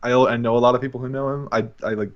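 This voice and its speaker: A man's voice: mid-range voice, steady tone, very fast, highs cut off. A man chatting casually and enthusiastically as a podcast guest, in an informal way. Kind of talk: casual chat.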